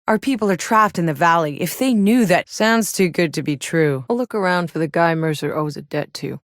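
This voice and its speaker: Woman acting a low-voiced, tough female sci-fi soldier. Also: normal speed, no room sound, studio quality, close to an everyday voice. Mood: businesslike. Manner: gruff, sardonic, casual swagger. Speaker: video game voice actor. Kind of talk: video game voice lines.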